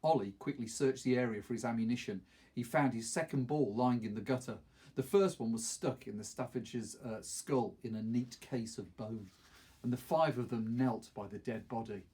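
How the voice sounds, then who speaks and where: A middle-aged man with a husky voice, reading a novel aloud expressively. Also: mid-range voice, normal speed, a little mellow. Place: small wood-panelled study, indoors.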